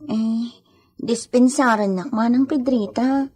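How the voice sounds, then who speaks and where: An actress with a Filipino accent playing an earnest, deferential young servant with a husky voice in a radio drama. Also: female voice, on the high side, slow pace, darkish tone. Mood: curious, apologetic.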